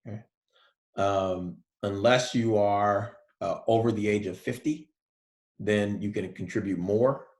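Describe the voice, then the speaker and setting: A male college professor giving a relaxed, soft-spoken lecture online, with many fillers. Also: lowish voice, measured pace, roomy sound.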